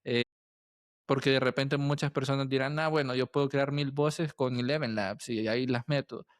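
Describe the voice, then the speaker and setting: A man with a Latin American Spanish accent that uses vos, hosting a casual interview and thinking aloud in a halting way. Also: medium pitch, fairly even intonation, no echo, clean studio sound. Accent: Latin American Spanish with voseo. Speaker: podcast host and interviewer.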